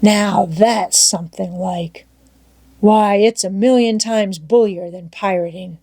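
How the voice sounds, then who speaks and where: A rough, stubborn runaway boy from a storybook as acted by a woman narrator. He grumbles in folksy, ungrammatical country dialect and speaks plainly. The pitch is middling and the sound is dry. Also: takes it slowly, mellow, dry recording. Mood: eager. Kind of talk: storybook character voice in audiobook reading.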